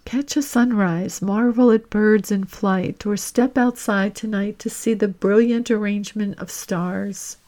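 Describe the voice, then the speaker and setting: A woman leading a guided reflection in the manner of a podcast host, gentle and deliberate, with long reflective pauses. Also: mid-range voice, fairly even intonation.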